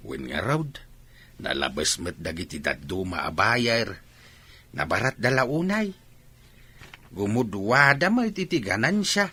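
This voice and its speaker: A man's voice: lowish voice, sing-song, a little slow, long pauses, pushed and bright, breathy edge, exaggerated voice. An actor playing a weary village fisherman father in an Ilocano radio drama, speaking with an Ilocano accent. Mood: tired, patient.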